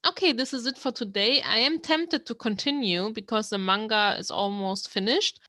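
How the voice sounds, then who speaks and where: A woman from Germany, hosting a language channel and slowly translating manga lines word by word. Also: a little high, sharp tone, no room sound. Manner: slow, careful explanation of words. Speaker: language-learning channel host. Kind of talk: reading and translating manga line by line.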